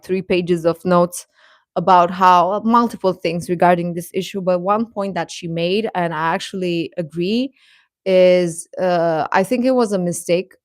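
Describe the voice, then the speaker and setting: A woman hosting a livestream, giving casual fan commentary to her chat in a rambling, halting, emphatic way that repeats points. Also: on the low side, no room sound, clean studio sound. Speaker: livestream host.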